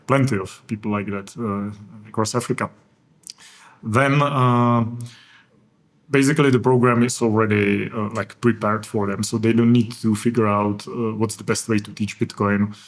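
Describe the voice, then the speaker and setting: A man with a Czech accent, a guest in a casual podcast interview, talking earnestly and enthusiastically with many pauses and fillers. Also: mid-range voice. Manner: earnest and enthusiastic, rambling with frequent hesitations. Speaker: guest, education program organizer. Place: conference venue.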